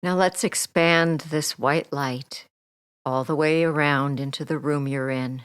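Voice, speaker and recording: A woman leading a guided meditation as a hypnotherapist, speaking soothingly and gently with deliberate pauses, her soft phrases trailing off toward a near whisper. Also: deep voice, fairly even intonation, clear, resonant tone, no room sound, studio quality. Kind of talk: guided meditation, relaxation script.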